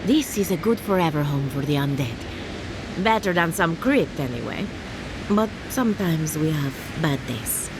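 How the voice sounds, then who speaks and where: A woman's voice: sits low, lively intonation, moderate pace, background noise. An actress voicing a cheerful, eccentric woman necromancer farmer in a video game, theatrical and breezy about gruesome things. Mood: fond, resigned. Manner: theatrical, breezy, matter-of-fact about gore. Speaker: quest-giving farmer.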